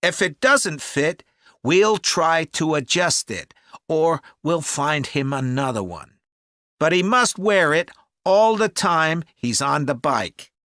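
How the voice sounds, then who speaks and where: An actor playing a helpful, organised man on a children's camp staff, explaining clearly and deliberately in a listening-test dialogue. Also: a little high, sing-song, bright, forceful tone, no room sound, studio quality, exaggerated voice. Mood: helpful, businesslike. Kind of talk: acted informational phone-enquiry dialogue for a listening test.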